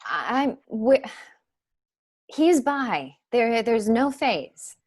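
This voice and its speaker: A woman's voice: on the high side, very animated, drawn-out words, a little mellow, husky, exaggerated voice. Sincere, defensive young woman played by an actress. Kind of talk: staged play reading.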